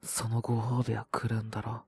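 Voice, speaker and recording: A man voicing a cocky, possessive young wolf-boy boyfriend with a cold, in an intimate audio roleplay, speaking bluntly and teasingly in a breathy, husky voice. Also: lowish voice, monotone, a little fast, no room sound, exaggerated voice. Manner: blunt, rough casual speech, teasing, languid. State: sick with a cold and cough. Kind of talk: Japanese situation-voice roleplay aimed at the listener.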